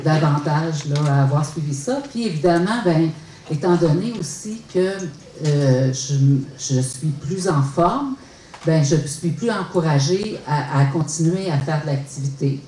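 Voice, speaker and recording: A woman's voice: low voice, lively intonation, a little slow, warm tone, a little hiss or hum. Hesitant Québécois woman in her fifties. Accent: Québécois French. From Quebec. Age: 50s. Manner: hesitant, many fillers and restarts. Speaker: research study participant. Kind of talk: public testimony at a talk. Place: lecture hall. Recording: roomy and distant.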